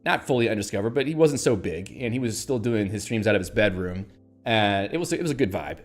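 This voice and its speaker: A man's voice: on the low side, expressive, brisk. A man hosting a casual livestream, chatting in a rambling way with fillers and restarts and reading out viewer comments. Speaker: livestream host.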